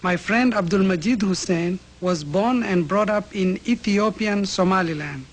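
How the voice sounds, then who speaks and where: A man narrating a documentary in an earnest, measured scripted delivery. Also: high-pitched, a little hiss or hum.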